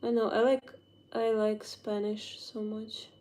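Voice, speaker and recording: Young woman, Ukrainian accent, unhurried, halting non-native English, phone livestream chat. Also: on the high side, little pitch movement, dark tone. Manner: halting, searching for English words. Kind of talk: casual livestream chat while doing makeup.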